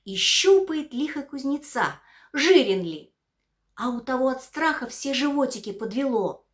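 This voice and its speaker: A woman actress reading a bedtime fairy tale in an expressive storyteller's cadence. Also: sits high, a little slow.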